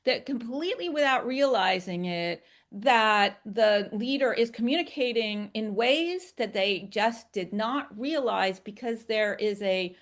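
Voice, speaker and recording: A woman's voice: fairly high pitch, animated, measured pace, few pauses. A woman working as a communication coach, explaining conversationally with examples in an emphatic, informal way and checking in as she goes.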